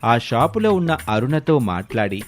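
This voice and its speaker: A man's voice: medium pitch, sing-song, normal speed, faint music behind. A man narrating a scripted moral tale as a storyteller.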